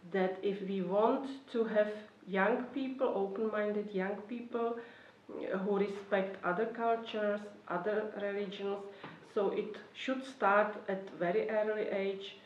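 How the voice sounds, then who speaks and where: A woman with a Czech accent explains policy as an official addressing online learners. Her medium-pitched voice moves carefully and haltingly through non-native English. The recording is roomy and slightly dull, picked up at some distance from the microphone. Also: a little mellow, a little hiss or hum. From Czech Republic. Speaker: government youth policy official. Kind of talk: explanatory talk for an online course.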